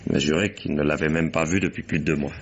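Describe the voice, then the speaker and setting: Gruff, very deep-voiced imperious boss. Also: male voice, steady tone, fast, lo-fi sound, exaggerated voice. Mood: explaining. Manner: brusque, domineering, blunt. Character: gruff, imperious businessman, a wronged husband. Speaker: actor in a radio mystery. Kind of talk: French detective radio drama acting.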